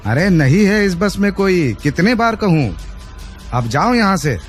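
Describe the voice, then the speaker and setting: A man's voice: high-pitched, big pitch swings, measured pace, faint music behind. A man voicing an irritated, dismissive bus conductor in a cartoon, mocking and exasperated. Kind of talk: cartoon voice acting.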